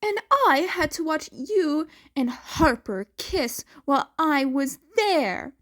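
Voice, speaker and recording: Woman as a bratty, higher-voiced little brother. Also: expressive, slow. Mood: indignant. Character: bratty, nosy young boy, teasing little brother.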